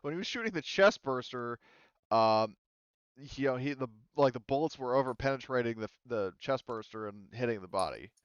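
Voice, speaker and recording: Rambling game master, smooth but dull sound. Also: male voice, mid-range voice, animated, fairly quick, dry recording. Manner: rambling, lots of fillers and self-corrections. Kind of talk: narrating a tabletop game.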